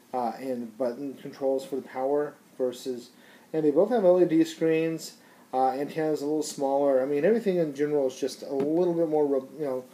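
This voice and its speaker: A man's voice: medium pitch, warm tone, poor audio. A man giving a casual, unscripted product review in a rambling, conversational, slangy way.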